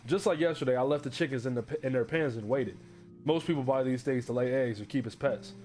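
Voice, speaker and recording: A man's voice: medium pitch, quick pace, a little mellow, faint music behind, lightly acted. An actor playing a broke, desperate divorced man who narrates his analog horror story in a resigned, confessional, wry way.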